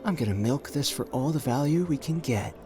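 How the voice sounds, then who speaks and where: Music plays clearly under a mid-pitched male voice. It is a man acting out a suave, self-assured gambler from an ASMR audio roleplay. He teases and flirts intimately, stretching out his phrases with a calculating confidence. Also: animated, a little mellow, natural voice. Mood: playful, confident. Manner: teasing, intimate, drawn-out phrasing with pet names.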